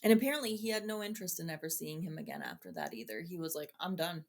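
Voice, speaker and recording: A woman's voice: sits low, sing-song, fast. A woman co-hosting a true-crime podcast, speaking informally with strong opinions and jokey asides. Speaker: podcast co-host.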